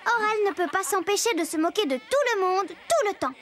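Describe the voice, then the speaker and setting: A woman's voice: very high voice, lively intonation, a little fast, very noisy. A woman voicing a playful, teasing childlike storyteller who narrates a cartoon for children. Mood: confiding. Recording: loud music under the voice.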